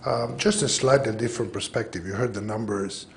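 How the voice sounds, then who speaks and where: A man's voice: fairly low pitch, brisk, vocal fry, a little hiss or hum. Greek-accented man speaking earnestly. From Greece. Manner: earnest, hesitant with many fillers. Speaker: public commenter. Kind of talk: public comment at a council meeting.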